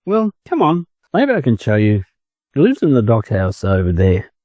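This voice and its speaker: Male reader as cocky storybook farm chicken, Australian, curt and bragging, mellow tone, animated pitch. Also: moderate pace, dry recording, lo-fi sound. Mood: hesitant bluffing. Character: boastful, snappish young male farm chicken.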